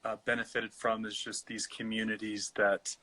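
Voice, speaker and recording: An American man hosting a casual interview, encouraging and a little halting in his phrasing. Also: fairly low pitch, level tone, bright, forceful tone. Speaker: show host, interviewer. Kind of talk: casual chat.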